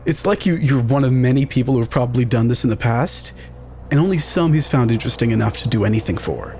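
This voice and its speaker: Mid-pitched man playing a tabletop game. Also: expressive, fast, darkish tone, a little hiss or hum. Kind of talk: casual game table talk.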